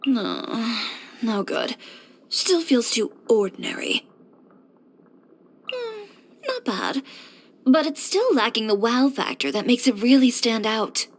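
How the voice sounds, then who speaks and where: A woman's voice: fairly high pitch, very animated, slow pace, long pauses, heavily acted. A young woman voicing a confident, blunt fashion designer and boutique owner in a scripted video game, with a poised, direct delivery. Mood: focused, earnest. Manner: self-assured, direct, poised with dry sighs.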